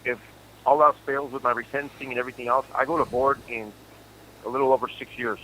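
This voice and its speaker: A man's voice: medium pitch, fairly even intonation, fast talker, pushed and bright. A man in his forties calling from prison, laid-back and joking. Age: 40s. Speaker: incarcerated guest on a call-in show. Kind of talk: casual phone chat. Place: prison phone. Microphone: phone line. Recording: faint noise on a prison phone line.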